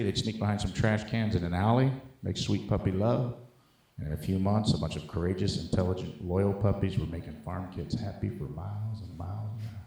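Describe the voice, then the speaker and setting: A man performing a profane stand-up set, telling animated stories with acted-out bits. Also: medium pitch. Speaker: stand-up comedian, special guest. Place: live show on a stage before an audience. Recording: roomy live stage sound.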